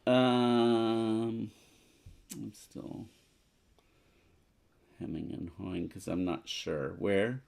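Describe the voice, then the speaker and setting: A man's voice: lowish voice, steady tone, very slow, vocal fry, long pauses, a little mellow. A man chatting casually as co-host of a board game playthrough, deliberating hesitantly as he counts. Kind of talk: casual chat while playing a board game.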